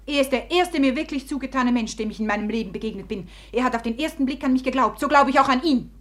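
Woman acting a high-voiced, proud, fiery society lady. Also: quick pace, natural voice. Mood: defiant. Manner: imperious, theatrical. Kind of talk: 1950s German radio play acting.

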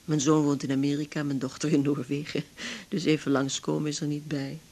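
An actress playing a lonely, tense older widow in a naturalistic radio drama, confiding haltingly in Netherlands Dutch. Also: female voice, low-pitched, little pitch movement, a little mellow. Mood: bitter, grieving.

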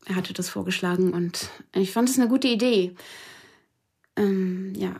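A woman playing a grieving witness in a naturalistic radio crime drama, speaking haltingly about her former lover. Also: mid-range voice, creaky, darkish tone, husky. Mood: halting, upset. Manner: halting, hesitant recollection.

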